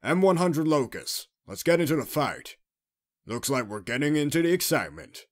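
A man voicing a confident, battle-ready tank commander, giving crisp military replies as game unit lines. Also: on the high side, lively intonation, moderate pace, natural voice.

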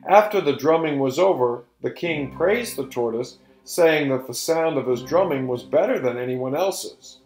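A middle-aged man narrating a folktale in a deliberate, emphatic, theatrical storyteller's delivery. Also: fairly high pitch, expressive, darkish tone. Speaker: storyteller and presenter. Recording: roomy echo with music behind.

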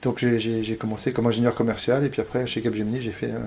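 A man in his forties from Paris, speaking as an interview guest and pausing often on fillers and restarts. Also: mid-range voice, little pitch movement, a little mellow, slight background noise. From Paris, France. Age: 40s. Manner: hesitant, many fillers and restarts. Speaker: interview guest, company founder. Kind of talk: casual interview talk.

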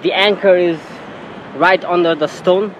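A man's voice: high-pitched, fairly even intonation, measured pace. Young man vlogging from a boat. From Sweden. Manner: easygoing, talks straight to the camera. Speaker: travel vlogger. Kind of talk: casual vlog narration. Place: small open boat at sea, outdoors. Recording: windy outdoor boat audio.

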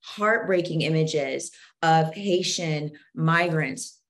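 A middle-aged woman, speaking deliberately and earnestly as an interview guest explaining things on a video call. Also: deep voice, a bit bright. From born in Zambia, moved to the United States at age four. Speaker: guest author and co-host. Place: home office with bookshelves, indoors.

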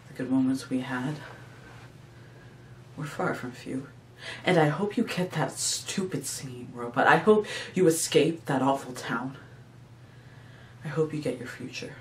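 Guy playing a sad bitter left-behind friend, really slow theatrical monologue, echoey room. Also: mid-range voice, close to an everyday voice. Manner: slow, mournful, theatrical recitation. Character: heartbroken, abandoned friend, bitter and wistful. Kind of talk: dramatic audition monologue. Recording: echoey room, distant mic, faint noise.

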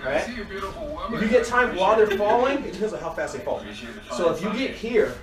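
High-voiced man teaching in an echoey dojo. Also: expressive, normal speed, crowd noise. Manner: loose, laughing, demonstrating moves with sound effects. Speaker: martial arts instructor. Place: large indoor training room with mats. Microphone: fixed camera high in a corner, far from the speaker. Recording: echoey room, voice from far off.

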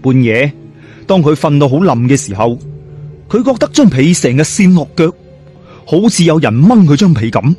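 A man's voice: a little high, sing-song, normal speed, mellow, soft background music. A man telling a ghost story in a dramatic, colloquial and suspenseful way.

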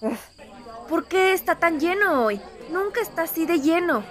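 A woman voicing a plucky, sassy young female fox hunter in an animated fan dub, expressive, teasing and blunt. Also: extremely high pitch, moderate pace. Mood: puzzled, annoyed.

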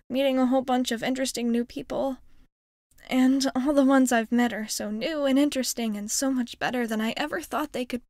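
A woman's voice: a little high, no echo, studio quality. A woman voicing a shy, sweet young alien girl in a hesitant, earnest, intimate ASMR roleplay monologue.

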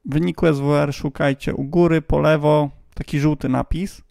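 A man's voice: fairly high pitch, fairly even intonation, normal speed, vocal fry, darkish tone, dry recording, studio quality. A man giving a casual, playful, tongue-in-cheek explainer voice-over as a hobbyist video host.

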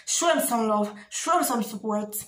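A woman's voice: fairly high pitch, expressive, moderate pace, a bit bright. A young adult woman with a Jamaican accent chatting casually to camera as a video blogger. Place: small bare indoor room. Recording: echoey small room.

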